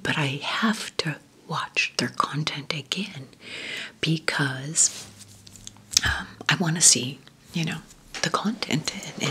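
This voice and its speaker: A woman whispering gently through an ASMR question-and-answer video, talking fondly and slowly with soft fillers. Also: low-pitched, husky. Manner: slow, gentle, affectionate rambling with fillers. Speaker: ASMR creator.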